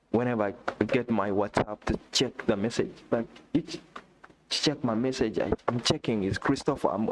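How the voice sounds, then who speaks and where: A man from Uganda, not a native English speaker, chatting excitedly on a live stream, repeating himself and talking straight to a viewer. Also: mid-range voice, slight background noise, low-quality recording. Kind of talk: casual live-stream chat. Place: indoor room.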